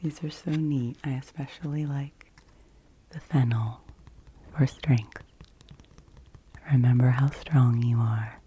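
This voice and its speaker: A woman hosting ASMR, speaking gently and soothingly, slipping often between soft speech and whispers. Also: extremely low pitch, fairly even intonation, slight background noise, rough recording. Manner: slow, gentle, soothing delivery with frequent whispering. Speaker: ASMR video host.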